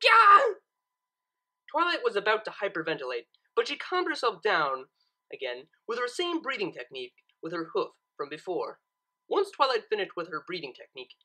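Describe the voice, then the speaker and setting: A woman reading a fan fiction story aloud as an expressive narrator. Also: on the low side, moderate pace.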